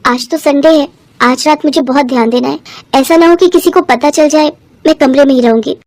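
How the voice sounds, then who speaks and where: A woman's voice: high-pitched, fairly quick, darkish tone. A woman voicing a meek, kind young daughter-in-law in a storybook tale, polite and anxious.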